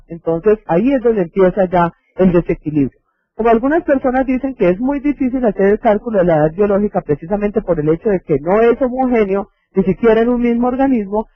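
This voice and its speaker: A man's voice: high voice, dry recording. A man with a Colombian accent giving an explanatory health lecture in a didactic way, posing rhetorical questions. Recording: dull lo-fi videoconference audio.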